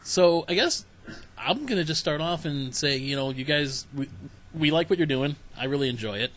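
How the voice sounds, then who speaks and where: Chatty male podcast host, fairly high voice. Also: expressive, moderate pace, a bit bright, low-quality recording. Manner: casual, chatty, loose phrasing. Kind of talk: casual podcast interview.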